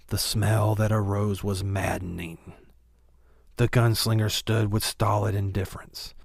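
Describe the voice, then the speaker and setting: A man reads literary fiction as an audiobook narrator. His voice is fairly low with a strong creak, recorded very dry and close. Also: a little mellow, no echo.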